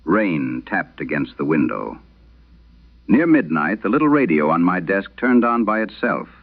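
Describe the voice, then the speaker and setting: An actor playing an aging, haunted priest, giving a slow, solemn confession in a grave, measured horror radio monologue. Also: male voice, low voice, sing-song, full character voice. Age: older. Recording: muffled vintage radio sound.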